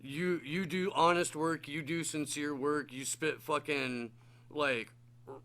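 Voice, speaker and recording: An American man hosts a casual podcast in a bright, forceful voice pitched a little high. He speaks slowly and haltingly, with some swearing. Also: steady tone. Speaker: podcast host.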